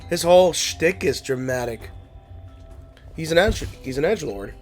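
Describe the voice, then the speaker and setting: Wry male gamer over soft music. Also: on the high side, animated, moderate pace. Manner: wry, joking asides. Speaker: gaming video creator. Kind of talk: casual let's play reading and commentary. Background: game music.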